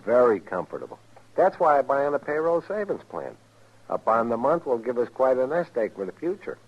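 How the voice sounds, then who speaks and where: An actor playing a distracted, mildly exasperated young American husband in an old radio advert sketch. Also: male voice, mid-range voice, big pitch swings, a little fast. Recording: dull vintage radio recording.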